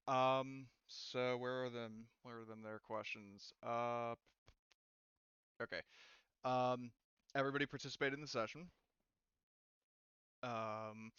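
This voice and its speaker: A man speaking casually as game master after a session, laughing easily and often pausing to think. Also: mid-range voice, a bit bright, clean tone, no echo, dull audio. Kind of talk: casual post-game chat.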